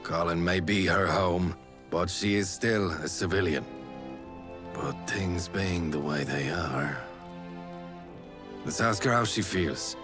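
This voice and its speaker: A man playing a stern, measured military captain in a fantasy game, formal and authoritative. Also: sits low. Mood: grave, commanding. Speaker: video game character. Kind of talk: English game cutscene voice acting. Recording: music behind the voice.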